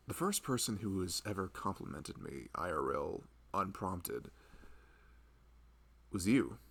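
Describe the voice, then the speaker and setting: Loving young husband acted by a man for intimate ASMR roleplay: very low, creaky voice, earnest, informal, rambling delivery. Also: full character voice. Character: loving, chatty, earnest husband of a pregnant wife. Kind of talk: improvised ASMR roleplay, casual intimate chat.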